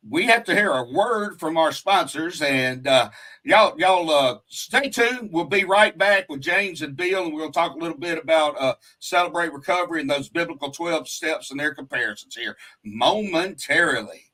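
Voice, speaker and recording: A man's voice: a little high, bright, forceful tone. A man hosting a faith-based talk show in a folksy, talkative, rambling and earnest way. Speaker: radio show host.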